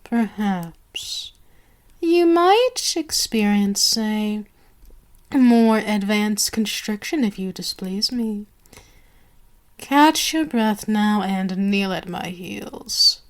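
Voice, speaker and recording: Woman acting a slow, imperious vampire queen. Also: medium pitch, expressive. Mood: cruel, commanding. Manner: haughty, drawn-out, teasing, dropping to whispers. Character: imperious sadistic female vampire queen, mocking and possessive. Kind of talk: ASMR roleplay monologue.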